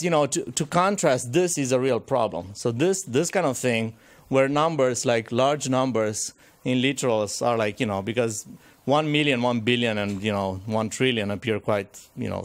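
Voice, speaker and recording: Hoarse man, conference audience question, halting, many ums and restarts, challenging tone. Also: medium pitch. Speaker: audience member asking a question.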